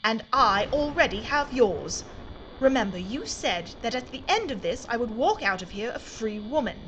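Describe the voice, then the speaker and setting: A woman's voice: on the high side, animated, normal speed, a bit bright, slight background noise, low-quality recording. An actress playing a bold, wry aristocratic lady journalist in a wartime audio drama, speaking formally with teasing assurance. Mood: wry, bargaining. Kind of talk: period audio drama dialogue.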